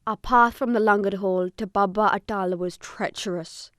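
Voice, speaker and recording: A woman narrator giving a scripted first-person dramatic account in a solemn, measured storytelling manner. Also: medium pitch, steady tone, fairly bright tone, dry recording, clean studio sound.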